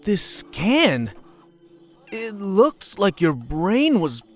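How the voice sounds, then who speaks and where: A woman's voice: medium pitch, big pitch swings, very slow, faint music behind, full character voice. A woman playing a worried, sceptical comrade in an audio drama, blunt and full of concern. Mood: incredulous.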